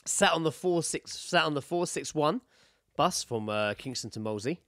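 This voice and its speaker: A British man chats as a podcast co-host with animated, varied intonation. The sound is dry and close. He tells stories casually, with swearing and an easy laugh. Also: on the high side, normal speed, dry recording. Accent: British English. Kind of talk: casual banter and anecdotes.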